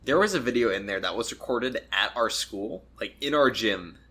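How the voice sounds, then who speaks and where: Bright-voiced young man telling stories. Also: mid-range voice, expressive, normal speed, slightly rough. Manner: storytelling, laughs often, acts out quotes. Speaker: podcast co-host. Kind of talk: casual chat.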